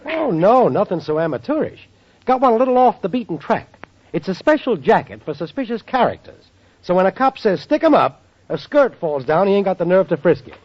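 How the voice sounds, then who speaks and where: An actor playing a slick young hustler with breezy, slangy sales patter in 1940s radio sitcom acting. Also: male voice, sits high, big pitch swings, fairly quick, a little hiss or hum. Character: slick smooth-talking young con man. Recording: dull vintage radio.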